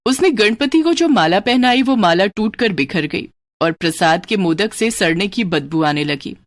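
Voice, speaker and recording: This is a woman telling a scripted moral cartoon story. Her mid-range voice moves up and down in a very animated, dramatic storytelling style. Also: mid-range voice, normal speed, no echo.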